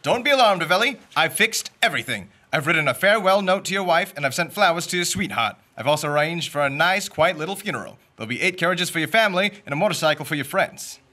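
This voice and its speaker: A man's voice: fairly high pitch, animated, bright, forceful tone. A man playing a glib, wisecracking con-man lawyer in a radio play. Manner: rapid-fire wisecracks, glib. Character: Flywheel, fast-talking wisecracking male con-man lawyer.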